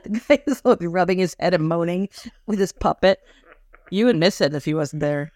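A woman's voice: fairly low pitch, moderate pace, darkish tone, no room sound, clean studio sound. A woman co-hosting a podcast, chatting casually and animatedly as she recaps a TV episode, laughing often. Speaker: podcast co-host.

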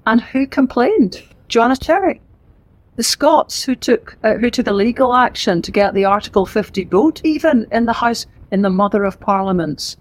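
A middle-aged woman with a Northern Irish accent, an author giving a lively, emphatic book talk to a live audience in a library. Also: fairly high pitch, moderate pace, poor audio. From Northern Ireland. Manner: lively, emphatic and conversational, with asides. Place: library event room.

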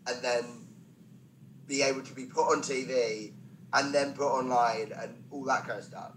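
A male stand-up comedian chats as a podcast guest, spinning anecdotes in a self-deprecating way. His voice sits at an average pitch for a man, and he drops in wry asides as he goes. Some background noise runs under the recording. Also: fairly even intonation, normal speed, a bit bright, poor audio. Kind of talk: conversational comic anecdote telling.

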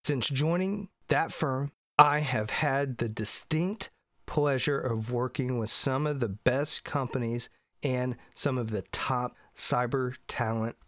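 A man's voice: medium pitch, no echo, studio quality. A middle-aged man from Indiana, congested from allergies, hosting a solo podcast in an earnest, emphatic way. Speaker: podcast host.

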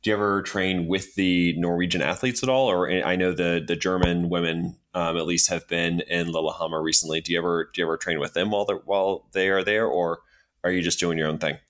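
A man's voice: sits low, steady tone, brisk, fairly bright tone. A man co-hosting a podcast, asking long, multi-part questions with a light joking tone. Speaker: podcast co-host. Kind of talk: casual interview.

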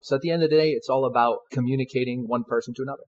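A man's voice: medium pitch, fairly even intonation, very fast. A man in his twenties, a soldier guest answering interview questions in an earnest, polite way. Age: 20s. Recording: dull lo-fi sound.

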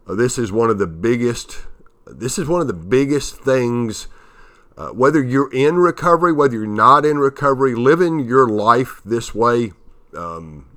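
A man in his fifties from Tennessee hosts a motivational podcast in a bright, ringing voice. His nose is a little stuffed up from allergies. He stops and starts often, filling the gaps with "uh" and repeated words. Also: medium pitch. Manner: halting, with many fillers and restarts. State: nasal from allergies. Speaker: podcast host.

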